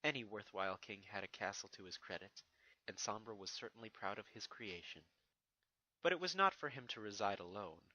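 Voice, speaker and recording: A man's voice: lowish voice, animated, a little fast, bright, forceful tone, dull audio. A man giving a dramatic scripted narration of a fantasy fan fiction story.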